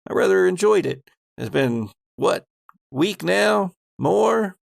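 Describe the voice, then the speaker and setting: A man's voice: high voice, animated, takes it slowly, creaky, no echo, studio quality, voice pushed up, full character voice. A man playing an easygoing, gossipy maintenance worker in a tabletop game, rambling in folksy, ungrammatical working-man speech. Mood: chatty, musing.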